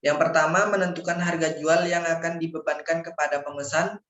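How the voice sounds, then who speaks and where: Higher-voiced young man in an echoey room. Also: little pitch movement, a little fast, fairly bright tone. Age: young adult. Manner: formal, recited, stumbling over words. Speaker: university student presenter. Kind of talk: scripted slide presentation, read aloud. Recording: echoey room, dull lo-fi microphone.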